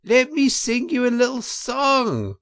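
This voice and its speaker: A man voicing a smug, mocking chief weasel in a storybook, squeaky and given to mock-formal speechmaking. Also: wildly swooping pitch, takes it slowly, a bit bright, heavily acted. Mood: gleeful, taunting. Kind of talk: audiobook character voice.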